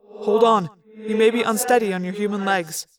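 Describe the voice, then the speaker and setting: A woman voicing an earnest young male alien pilot with formal, measured speech, in an audiobook story. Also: medium pitch, steady tone, far from the mic, a crowd in the background, lightly acted. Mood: grave, measured.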